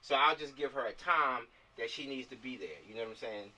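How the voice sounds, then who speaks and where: A man's voice: medium pitch, fairly quick, sharp tone. An American man in a casual planning chat on a video call, repeating himself and often checking for agreement. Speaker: film director organizing a shoot. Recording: distant mic on internet video call.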